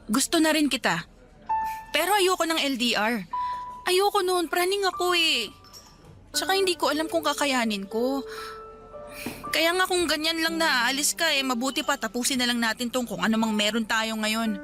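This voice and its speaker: A woman's voice: high-pitched, normal speed, long pauses, over music, natural voice. An actress with a Filipino accent playing a guarded, anxious young woman in love in a Tagalog radio romance drama. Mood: guarded, wistful.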